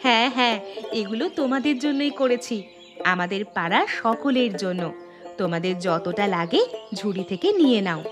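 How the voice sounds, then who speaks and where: Woman acting a high-voiced, kind young village wife. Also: expressive, normal speed, over music, natural voice. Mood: kindly. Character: kind, humble young village wife who grows greens for the poor. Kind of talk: Bengali children's cartoon voice acting.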